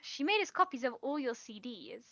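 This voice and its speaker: An actress playing an earnest, guilt-ridden young woman, halting and pleading, in a naturalistic stage drama. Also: sits high, big pitch swings, bright, forceful tone, no echo, muffled recording, full character voice. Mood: tender, nervous.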